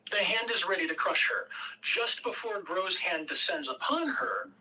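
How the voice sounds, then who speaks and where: A woman narrates a script at a table read. Her voice is bright and mid-pitched, measured with the odd stumble. The microphone sounds some distance away. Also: lively intonation, a little hiss or hum. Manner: measured, occasional stumbles over words. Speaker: narrator reading stage directions.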